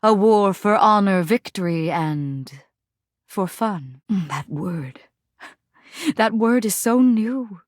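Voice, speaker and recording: A woman playing a proud, brooding, war-weary commander in a video game, speaking in archaic, poetic lines with solemn pauses and heavy melodrama. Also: medium pitch, lively intonation, no echo, clean studio sound. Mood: tentatively flirtatious. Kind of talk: dramatic video game character voice acting.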